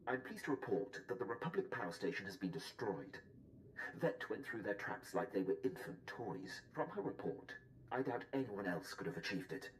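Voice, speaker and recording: Casting: man playing dutiful game officer, lowish voice, formal, precise, deferential delivery. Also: slight background noise, lightly acted, poor audio. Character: formal, dutiful male military officer reporting to his lord. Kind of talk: video game voice acting.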